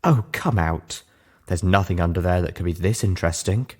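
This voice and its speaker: A man's voice: sits low, normal speed. Man putting on a prim, bossy lady pony. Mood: insistent. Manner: refined, scolding, precise wording. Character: prim, bossy, sardonic female unicorn pony medic.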